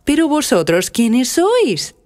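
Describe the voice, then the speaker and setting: A woman playing a kindly, reassuring teacher of magic in a children's cartoon, calm and helpful in manner. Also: high voice, takes it slowly, heavily acted. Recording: loud children's music underneath.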